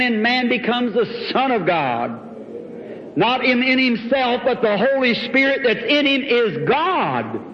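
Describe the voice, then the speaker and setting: Guy preaching revival style, really high voice, slow delivery, old noisy muffled recording. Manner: exclamatory, exhorting, folksy. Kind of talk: Pentecostal revival sermon. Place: large hall, speaking from a platform. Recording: dull, noisy old hall recording, long reverb.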